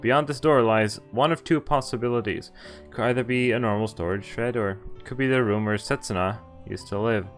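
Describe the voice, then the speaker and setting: A man's voice: medium pitch, a little fast. A man narrating visual novel text aloud as a game streamer, occasionally stumbling over words as he reads. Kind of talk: read-aloud story narration. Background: game music.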